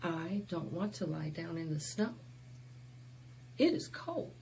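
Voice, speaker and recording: A woman's voice: lowish voice, animated, slow, darkish tone, a little hiss or hum. Woman reading as a wary little storybook boy. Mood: reluctant, wary. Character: reluctant young boy visiting snow country, wary then delighted. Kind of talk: picture book character read aloud.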